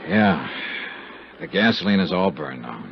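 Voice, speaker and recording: A tough, cynical American detective from a 1950s radio serial. He speaks in clipped, sardonic slang with a very animated pitch. The old recording is dull, with faint noise behind him. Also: unhurried, vocal fry, heavily acted. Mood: cold, sardonic. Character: hard-boiled male investigator, cynical, tough and world-weary. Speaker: lead actor and narrator of a radio detective serial.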